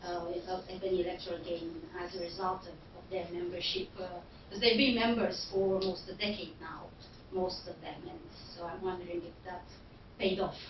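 A woman's voice: lowish voice, level tone, moderate pace, few pauses. Hesitant woman asking from far off. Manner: hesitant, many fillers and restarts. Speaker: audience member asking questions. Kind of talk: academic question-time. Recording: distant, roomy, dull and noisy hall recording.

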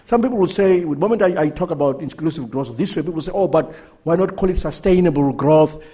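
A middle-aged man giving a talk and answering questions, halting often and repeating words. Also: on the high side, lively intonation, dark tone. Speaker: guest speaker. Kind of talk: lecture and Q&A answers.

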